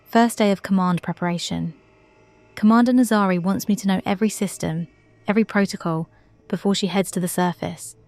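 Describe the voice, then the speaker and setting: A woman's voice: mid-range voice, steady tone, brisk, a little mellow, no room sound, natural voice. Young female space officer, dutiful and modest, reflective log monologue for sci-fi audio drama played by a woman. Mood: focused.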